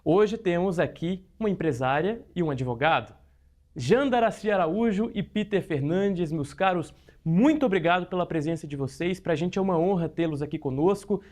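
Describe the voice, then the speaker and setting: A man's voice: high voice, lively intonation, normal speed, darkish tone. A man hosting a studio talk show, upbeat and friendly, putting questions to his guests. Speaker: news show host.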